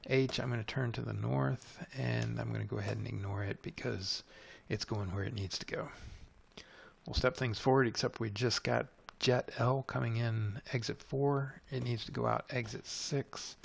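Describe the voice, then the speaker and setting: Very creaky-voiced man narrating a tutorial. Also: medium pitch. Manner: methodical, narrates each step. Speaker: tutorial presenter. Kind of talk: unscripted screencast tutorial, thinking aloud while playing.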